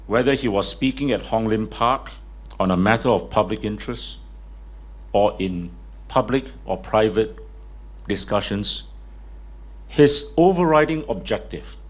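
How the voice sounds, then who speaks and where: A man giving a formal, measured speech at a press conference. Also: lowish voice. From Singapore. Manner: measured, formal speaking delivery. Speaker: party leader and lawyer speaking at a press conference. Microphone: speaks without a mic at first.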